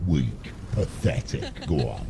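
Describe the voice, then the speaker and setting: An actor playing a cruel, monstrous male shadow spirit in a video game, taunting with slow, scornful contempt in a deep gravelly growl. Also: very animated, background noise, full character voice. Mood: contemptuous taunting. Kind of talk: video game villain acting. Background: loud music.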